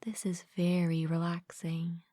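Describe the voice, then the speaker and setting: A woman leading a guided meditation as a mindfulness coach, speaking gently and calmly in a soft, breathy, partly whispered voice, close to the mic. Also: lowish voice, dry recording. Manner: gentle, calm, unhurried with long pauses. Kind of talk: guided meditation, soothing scripted narration.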